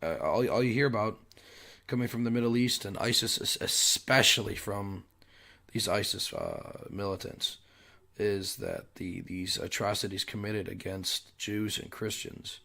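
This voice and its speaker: A young adult man hosting a solo commentary show while sick and losing his voice, clearing his throat often and pausing on fillers as he restarts his sentences. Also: on the low side, very animated, bright, forceful tone. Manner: halting, restarts mid-sentence. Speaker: podcast host.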